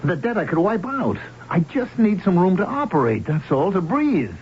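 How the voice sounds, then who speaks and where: Pleading gambler husband, American accent, animated pitch, hustler patter, dull vintage radio drama. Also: male voice, breathy edge, exaggerated voice. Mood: wheedling, pleading. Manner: pleading, fast-talking hustler. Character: desperate, wheedling gambler husband in deep debt.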